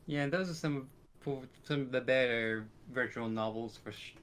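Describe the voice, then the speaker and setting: A man with a smooth, crisp voice at a middling pitch. He speaks as a podcast co-host, giving his opinions on games in relaxed conversational phrasing. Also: moderate pace. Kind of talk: casual podcast chat about games.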